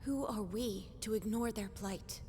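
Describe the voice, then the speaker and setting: A woman's voice: unhurried, dark tone, lightly acted. A woman playing an earnest, principled royal sister in a video game, pleading formally. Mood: earnest, defensive. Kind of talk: video game dramatic acting. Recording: hall reverb, faint rumbling noise.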